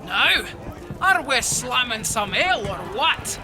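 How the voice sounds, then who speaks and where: A woman voicing a gruff, hearty, troll-like bearded barmaid in an audio drama, gritty voice full of rowdy pub banter. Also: on the high side, very animated, slow pace, over music. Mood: rowdy welcome. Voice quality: gritty, gravelly.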